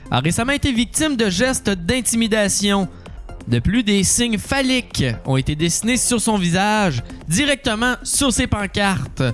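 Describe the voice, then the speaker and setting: A man's voice: sits high, a bit bright. A man with a Québécois French accent co-hosting a comedy podcast, delivering satirical mock news and often giggling at his own jokes. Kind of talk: satirical mock newscast with banter.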